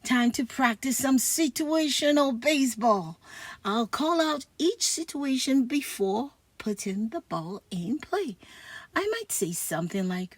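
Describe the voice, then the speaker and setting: A woman's voice: on the high side, slightly rough, no echo. A woman reading a comic book aloud expressively for children. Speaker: storybook reader.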